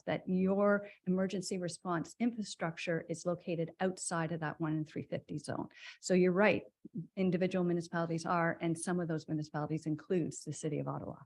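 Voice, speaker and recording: Woman, lower register, measured staff explainer at a public meeting. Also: darkish tone. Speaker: conservation authority staff.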